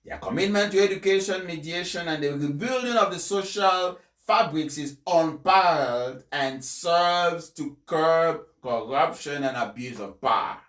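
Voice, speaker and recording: Echoey, higher-pitched man reading a formal speech. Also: expressive, moderate pace, hardly any pauses, a bit bright. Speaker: reader of an English translation of a papal speech. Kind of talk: formal read-aloud speech. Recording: echoey room.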